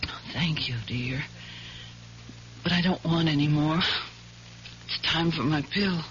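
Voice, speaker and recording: Slow, frail wife in radio drama. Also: female voice, low-pitched. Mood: weary, tender. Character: frail, self-effacing bedridden wife. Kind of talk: old-time radio drama acting. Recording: slightly noisy dull 1970s radio recording.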